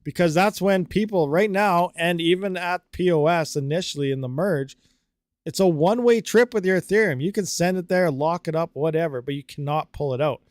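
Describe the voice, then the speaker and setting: A man's voice: fairly high pitch, brisk, a little mellow, no room sound, studio quality. A man hosting a live stream, chatting casually and rambling with lots of fillers while answering viewer comments. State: slightly dry throat. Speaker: livestream host.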